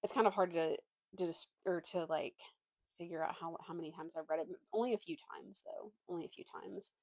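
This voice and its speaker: A woman's voice: fairly low pitch, fairly quick, no room sound. A young woman chatting casually as a book vlogger, opinionated and slangy, poking fun at herself. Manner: animated slangy delivery, opinionated, self-deprecating.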